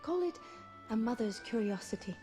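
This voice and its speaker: A woman plays a noble mother swan in a fantasy video game. The voice is slightly high, with solemn, formal and measured delivery. She is tender and grave, with music playing underneath. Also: expressive, mellow, lightly acted. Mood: tender, wistful. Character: swan, transformed noblewoman and loving long-exiled mother. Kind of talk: scripted video game voice acting.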